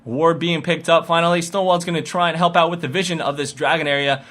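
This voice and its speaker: A man giving play-by-play commentary on a video game, getting excitable as the action builds. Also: a little high, fast talker. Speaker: esports commentator.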